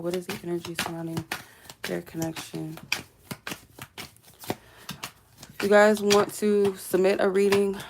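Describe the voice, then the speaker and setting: A woman hosting a live tarot reading with a stuffy cold, rambling with many fillers and dropping to quiet murmured prayers between cards. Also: lowish voice, gravelly, heavy background noise.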